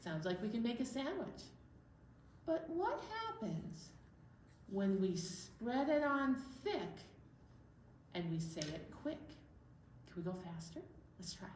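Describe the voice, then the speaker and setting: A woman hosts a storytime for young children with playful, simple instruction. She speaks slowly at a middle pitch. The sound is echoey, picked up from a distance with some background noise. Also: very animated, darkish tone.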